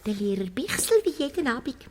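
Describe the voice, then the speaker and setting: A woman's voice: high voice, very animated, a little fast, slight background noise. A woman with a Swiss German accent playing a cheeky, gluttonous, indignant church mouse in a children's radio play, exclaiming theatrically. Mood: greedy, conspiratorial. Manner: exclamatory, theatrical, piling up colourful words. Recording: long reverb of a big hall.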